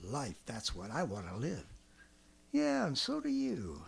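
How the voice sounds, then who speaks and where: A man's voice: mid-range voice, huge pitch swings, unhurried, gravelly, full character voice. An actor in 1930s radio drama style playing a fanatical survivalist artilleryman with an American accent, ranting colloquially and swinging from brooding to frenzied. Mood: grim, defiant. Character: fanatical survivalist artilleryman, swept up in grandiose schemes.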